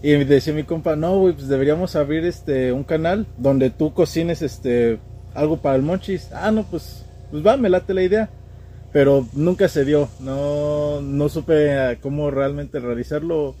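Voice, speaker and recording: A man's voice: on the high side, moderate pace, warm tone, slight background noise. A young man with a central Mexican accent, telling stories in a casual, slangy way as a podcast guest. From State of Mexico, Mexico. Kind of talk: casual conversational storytelling.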